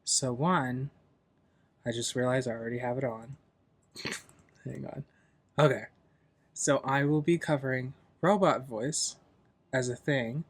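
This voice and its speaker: A man hosting a software tutorial in a casual, loosely explanatory, informal way. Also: medium pitch, fairly even intonation, unhurried, long pauses, dry recording. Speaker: tutorial host.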